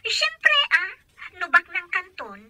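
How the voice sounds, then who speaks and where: Casting: bossy scheming girlfriend, Ilocano accent, extremely bright tone, swooping delivery, radio comedy. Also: extremely high pitch, wildly swooping pitch, slow pace, dry recording, full character voice. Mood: urging. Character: pushy, plotting young female friend egging others on.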